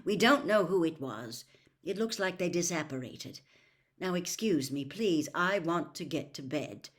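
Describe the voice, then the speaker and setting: A storybook father, read by a woman in close to her own voice. He is tired and sensible, speaking quietly and firmly with a tense edge, in a lively, varied pitch. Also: a little slow. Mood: impatient. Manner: quiet, firm, somewhat tense.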